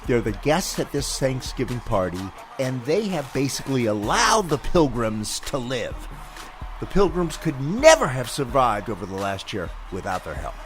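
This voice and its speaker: A man's voice: medium pitch, big pitch swings, moderate pace, close to an everyday voice. An actor playing a pushy, patronizing male producer in a comedy sketch. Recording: faint music behind.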